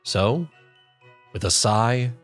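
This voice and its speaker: A woman's voice: a bass voice, animated, drawn-out words. A woman reading a story aloud as scripted second-person narration. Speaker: fan fiction reader. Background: faint music.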